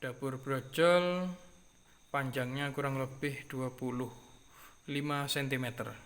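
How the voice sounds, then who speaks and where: A man in his thirties from East Java with a Madurese accent and a nasal voice, chatting casually to his viewers as a keris collector, unhurried and repeating himself. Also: medium pitch, steady tone. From Jember, East Java, Indonesia. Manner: unhurried, repeats phrases, drops in soft filler sounds. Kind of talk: casual vlog talk to viewers.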